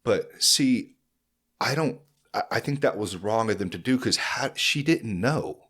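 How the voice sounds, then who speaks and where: Deep-voiced guy hosting a podcast, rambly and earnest, lots of "you know"s. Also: lively intonation, unhurried, a bit bright, husky. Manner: earnest, rambling with frequent fillers and restarts. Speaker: podcast host. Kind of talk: casual conversational podcast.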